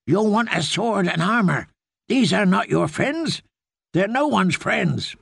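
A man voicing a grim, defiant female knight who speaks in a low voice. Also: lively intonation, slow, creaky, a bit bright, husky, no room sound, studio quality, full character voice. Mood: tense.

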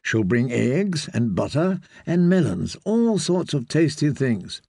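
A man doing an anxious young noblewoman, soft, coaxing, dry close sound. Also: medium pitch, big pitch swings, takes it slowly, gravelly, husky, no echo, exaggerated voice.

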